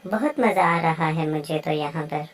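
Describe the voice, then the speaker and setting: A woman's voice: deep voice, moderate pace, lightly acted. A woman voicing an eager, playful young bird child in a children's moral story cartoon. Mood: excited.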